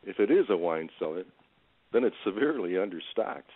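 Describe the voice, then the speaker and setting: A man's voice: sits low, animated, fairly quick, no room sound. A man playing a suspicious, drunk older food critic in an audio play. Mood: mocking. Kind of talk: audio stage-play acting. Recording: tinny narrowband phone-like line.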